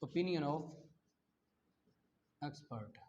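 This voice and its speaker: High-pitched Pakistani male law lecturer, dull audio. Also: expressive, very slow, a little mellow, a little hiss or hum. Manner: didactic, explains with everyday examples and rhetorical questions. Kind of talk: exam-prep lecture, explaining and reading out statute articles.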